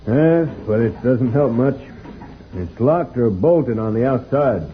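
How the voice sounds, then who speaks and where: A man playing a calm, measured leader of young space adventurers in an old-time radio serial, instructing the others. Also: medium pitch. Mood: directing, focused. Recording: tinny noisy old radio recording.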